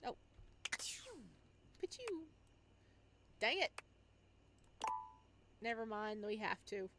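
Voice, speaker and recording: A woman's voice: mid-range voice, big pitch swings, drawn-out words. A woman hosting a game playthrough, reading on-screen text aloud and reacting casually as she goes. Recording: clear background noise under the voice.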